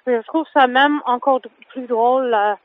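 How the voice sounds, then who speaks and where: A woman's voice: high voice, moderate pace. A woman singer whose first language is English, speaking French in a radio interview, hesitant and full of fillers and restarts. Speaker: singer guest. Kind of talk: phone interview. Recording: tinny phone line.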